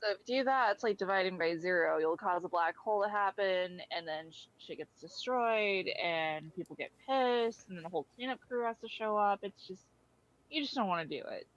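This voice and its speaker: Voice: mid-range voice, brisk, a bit bright, lightly acted. A person playing the Void, an irritated cosmic being who wants peace, blunt and dryly explanatory in an improvised audio roleplay on a dull track. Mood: blunt warning.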